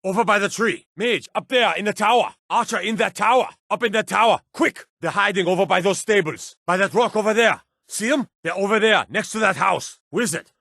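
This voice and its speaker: A man playing a stern soldier guard in a fantasy war video game, with a gruff, commanding manner. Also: high voice, a little fast, few pauses, bright, forceful tone, no room sound, studio quality, full character voice. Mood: shouted alarm. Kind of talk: scripted fantasy game dialogue barks.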